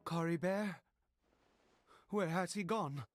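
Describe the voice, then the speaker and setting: An actor playing an anxious, fervent believer in a video game, with a husky voice and a halting, dramatic delivery. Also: male voice, high-pitched, dry recording, faint music behind. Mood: anxious dread. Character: anxious, fervent male believer, desperate and awestruck.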